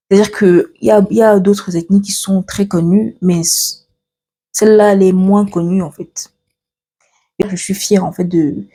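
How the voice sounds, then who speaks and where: A young woman from Cameroon talks casually to her viewers, stopping and restarting as she glances at her notes. Her voice sits low and mellow, with a soft husky edge. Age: 20s. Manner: chatty, many fillers and restarts, reads from notes. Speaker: vlogger. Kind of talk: casual get-to-know-me chat.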